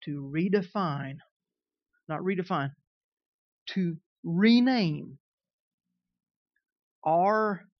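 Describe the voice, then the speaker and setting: Preacher, man, 50s, Southern twang, high voice, chatty delivery; dry, muffled recording. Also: no echo. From Kansas. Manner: conversational preaching, often checks in with listeners. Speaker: pastor. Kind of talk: sermon.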